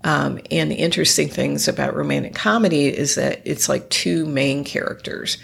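A woman's voice: sits low, fairly even intonation, normal speed, gravelly, husky. A woman hosting her podcast alone, sounding congested and nasal as she recovers from illness, rambling with many ums and restarts. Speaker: podcast host. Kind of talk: casual solo podcast talk.

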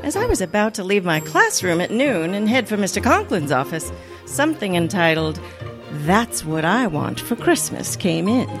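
A woman playing a wry, wisecracking high school teacher with sardonic wit in an old-time radio comedy. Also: very animated, moderate pace, over music. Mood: wry narration. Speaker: actor in a radio play.

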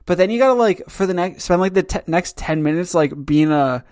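A man's voice: sits high, lively intonation, fairly quick, darkish tone, no echo, studio quality. A man hosting a casual podcast about his comic, teasing and joking with his co-host. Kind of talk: casual chat.

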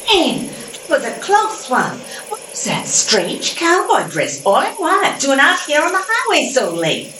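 An amateur actress playing a detective's practical, mildly teasing gal in an old-time style radio play. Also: female voice, sits high, wildly swooping pitch, unhurried, faint music behind, full character voice. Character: detective's game, practical gal, driving along. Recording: echoey, distant, noisy with mechanical rattle.

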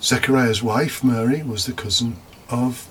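A man's voice: medium pitch, unhurried, poor audio. A man preaching a homily as a deacon, reflective, partly scripted with informal asides and rhetorical questions. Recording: faint background noise.

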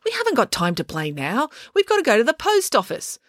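A woman's voice: fairly high pitch, huge pitch swings, a little fast, a bit bright, no echo, studio quality, pitched above the performer's own voice. A woman voicing a feisty, blunt young girl who argues with emphatic sarcasm. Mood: scolding.

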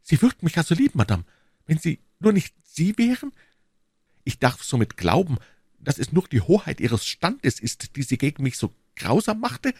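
A man's voice: fairly high pitch, wildly swooping pitch, moderate pace, a little mellow, breathy edge, no room sound, studio quality, voice pushed up, full character voice. Man acting a passionate lovestruck duke, swooping delivery. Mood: pleading. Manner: ardent, dramatic, courtly declamation. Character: passionate lovestruck English duke, pleading and fatalistic.

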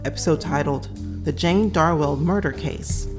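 A woman's voice: sits low, moderate pace. A woman giving a scripted introduction as host of a podcast. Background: prominent music.

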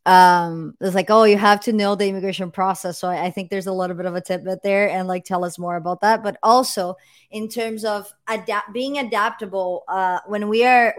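A woman hosting a podcast, asking long rambling questions in a chatty way and saying "like" often. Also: medium pitch. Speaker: podcast host. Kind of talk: interview questions, casual chat.

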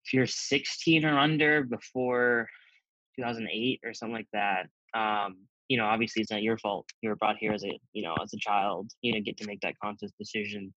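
A man's voice: lowish voice, flat delivery, brisk, bright, forceful tone, no room sound, highs cut off. A young man from Zambia raised in the Midwest, talking easily in a casual interview with lots of "you know". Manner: easygoing, many fillers like "you know".

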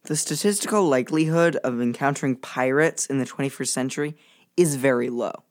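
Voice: medium pitch, brisk, close to an everyday voice. An actor playing a bookish, precise boy in a children's audio drama, speaking in a pedantic, matter-of-fact way.

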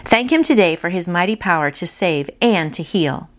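A woman's voice: fairly low pitch, expressive, normal speed. A woman hosting a devotional, reading and commenting in an encouraging, friendly way that speaks directly to listeners. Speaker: devotional podcast host. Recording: voice over background music.

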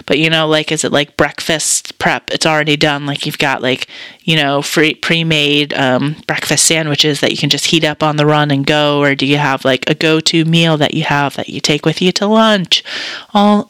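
A woman hosting a casual solo podcast check-in, chatty and self-deprecating, slightly raspy from a sore throat. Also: sits low, a bit bright, dry recording. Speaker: podcast co-host.

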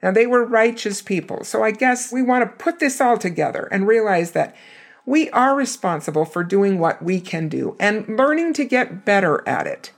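A hoarse woman giving an earnest, emphatic scripture lesson. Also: medium pitch, expressive, moderate pace. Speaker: scripture teacher.

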